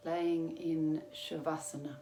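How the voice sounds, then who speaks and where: Slow, low-voiced woman guiding meditation. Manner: calm, measured, soothing, with long pauses. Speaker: yoga teacher. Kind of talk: guided meditation, yoga nidra instruction. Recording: roomy echo with faint background noise.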